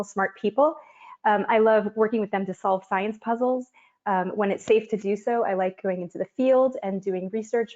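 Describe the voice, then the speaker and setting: A woman from Miami, a physical oceanographer, giving a friendly explanatory science demonstration over a video call with frequent ums. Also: mid-range voice. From Miami, Florida. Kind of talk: online science presentation and demo. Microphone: webcam on a video call. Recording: dull lo-fi video call audio.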